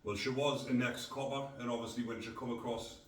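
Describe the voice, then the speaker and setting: A man's voice: medium pitch, steady tone, fairly quick. A middle-aged man from North East England, hosting a casual podcast in a blunt, rambling way. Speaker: podcast host. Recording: echoey room, distant mic.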